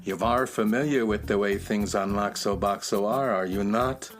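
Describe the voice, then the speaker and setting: A man playing a knowing, mysterious music box shopkeeper in a children's radio play, telling legends in a measured, portentous way. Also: fairly low pitch, lightly acted.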